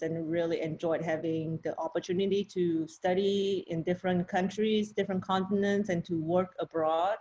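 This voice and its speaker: A woman from Malaysia speaks as a podcast guest, recounting her path in a measured, conversational way. Her voice sits on the lower side, and the remote call audio comes through dull and lo-fi. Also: darkish tone. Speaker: university program director. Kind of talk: podcast interview.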